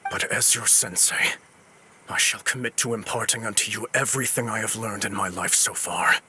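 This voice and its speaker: A man's voice: on the low side, sharp tone, a little hiss or hum. A man playing a remorseful middle-aged sword master and teacher in a video game, speaking formally and earnestly. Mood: humbled resolve. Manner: earnest, formal, halting when distressed. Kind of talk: dramatic video game acting.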